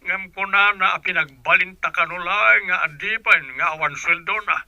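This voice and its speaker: A high, piercingly bright older male voice performed by an actor plays a stern father-in-law in a radio drama. He is challenging and disapproving, protective of his son, and recorded dry. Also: fairly even intonation, normal speed, vocal fry, no room sound, muffled recording, full character voice. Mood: stern, worried. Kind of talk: Philippine radio-style drama acting.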